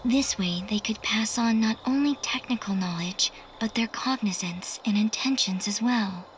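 An actress voicing a detached digital AI girl in a video game cutscene, measured and precise. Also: mid-range voice, slight background noise, close to an everyday voice. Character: calm female digital AI girl, cryptic. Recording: game audio with music underneath.

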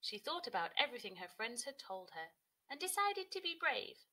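A woman's voice: fairly high pitch, sing-song, moderate pace, bright, forceful tone, low-quality recording. A woman in her thirties, a teacher, narrating a children's story expressively.

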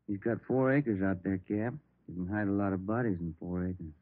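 An American actor playing a plain-spoken police detective sidekick in 1950s radio drama, folksy and direct. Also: male voice, low-pitched, moderate pace, no room sound, close to an everyday voice. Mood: direct, curious. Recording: muffled, dry vintage radio recording.

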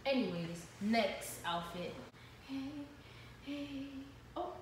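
Slow-talking young woman, echoey room. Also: fairly high pitch, expressive, recorded from a distance. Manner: chatty, playful, slangy. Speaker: vlogger. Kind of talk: casual clothing haul. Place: living room, indoors. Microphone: built-in camera mic from across the room. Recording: echoey room, voice off-mic, ambient noise.

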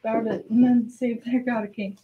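Woman playing a mild courtier, highish voice, noisy recording. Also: level tone, normal speed, narrow, tinny audio, close to an everyday voice. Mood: reasoning. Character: deferential, mild-mannered member of a royal court. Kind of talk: amateur radio play acting.

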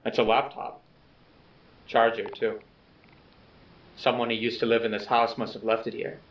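A man playing an awkward, earnest person recording a low-key video letter to someone absent, speaking haltingly and softly self-effacing. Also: flat delivery, lightly acted. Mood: hesitant, subdued. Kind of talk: low-key found-footage video message monologue. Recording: dull, echoey, distant playback with music.

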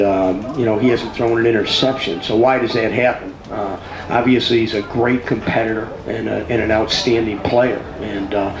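A middle-aged man, a plain-spoken, emphatic football coach answering at a press conference into a handheld mic. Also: medium pitch. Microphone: handheld microphone. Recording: roomy tent sound with clear noise.

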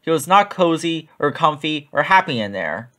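A man narrating a children's picture book in an expressive read-aloud style. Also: fairly high pitch, moderate pace.

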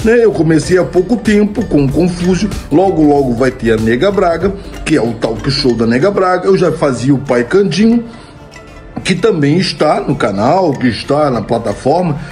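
Brazilian man in his 50s, high-pitched, chatty comic vlogger, close phone mic. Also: big pitch swings, normal speed, a little mellow, soft background music. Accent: Brazilian Portuguese. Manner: chatty, laughs at his own jokes, keeps adding "né?". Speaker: comedy content creator answering viewer questions. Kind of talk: casual Q&A monologue. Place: home room, indoors. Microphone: old phone camera, close framing.

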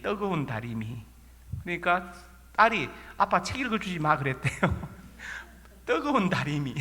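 A male pastor with a Korean regional accent preaching from the pulpit, his voice bright and forceful, pitched a little high. He tells homely stories and keeps firing rhetorical questions at the congregation. Each line rings out with the long reverb of a church sanctuary. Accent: Korean regional dialect. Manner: folksy storytelling with rhetorical questions. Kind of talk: sermon.

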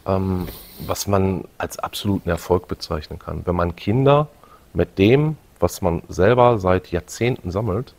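A man's voice: low voice, unhurried, darkish tone. A middle-aged man hosting a video, chatting casually to viewers and his guest. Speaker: video host. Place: indoor event room with collection displays.

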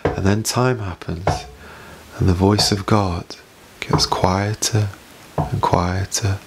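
A British man in his thirties preaching an evangelistic sermon in an earnest, pleading manner, with emphatic repetition and dramatic pauses. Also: low-pitched, steady tone, gravelly, noisy background. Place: small home room, indoors. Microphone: boom-arm microphone off to the side. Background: faint background music.

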